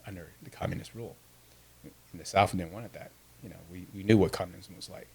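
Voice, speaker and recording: A man's voice: sits low, steady tone, fast, gravelly, darkish tone, husky, rough recording. A man in his fifties, born in Vietnam with Vietnamese as his first language, telling a personal story earnestly as a podcast guest. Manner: earnest, with frequent restarts.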